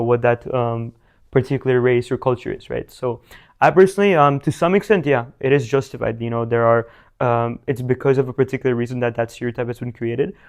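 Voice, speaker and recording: Rambling teenage guy, flat voice. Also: medium pitch, clean tone. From India. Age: teens. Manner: rambling, many fillers and restarts. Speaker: guest, young business founder. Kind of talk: casual interview answers.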